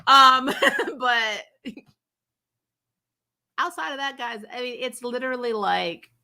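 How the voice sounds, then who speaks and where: Animated-sounding woman with a bright, high voice. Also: takes it slowly, gravelly. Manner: sarcastic, incredulous, blunt and sweary; laughs often while talking. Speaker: host of a TV superhero recap show. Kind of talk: casual, opinionated fan review chat.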